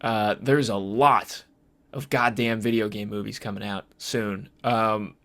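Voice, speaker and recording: A man co-hosting a podcast, discussing films casually in a halting, repetitive, conversational way. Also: on the low side, steady tone, fairly bright tone. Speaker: podcast host.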